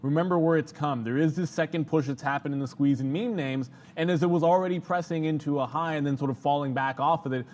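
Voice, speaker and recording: A man's voice: on the high side, steady tone, fast talker, hardly any pauses, darkish tone. A man working as an analyst on a live trading show, explaining the market off the cuff in long, winding sentences. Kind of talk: unscripted analytical market commentary.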